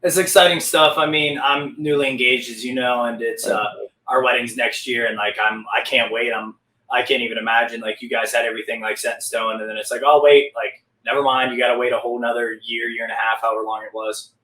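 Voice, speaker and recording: A man's voice: medium pitch, fairly even intonation, fairly quick, sharp tone. A young man hosting a podcast, chatting informally and casually with his guest. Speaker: podcast host. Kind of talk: casual interview chat. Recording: roomy, distant from microphone.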